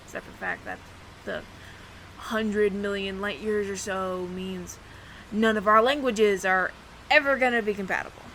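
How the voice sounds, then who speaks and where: A woman's voice: mid-range voice, fairly even intonation, normal speed, bright, forceful tone, slight background noise, rough recording. A woman voicing a friendly, flustered alien roommate who passes as human, speaking to the listener in a hesitant, stammering, earnest ramble in an audio roleplay. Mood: wistful, candid.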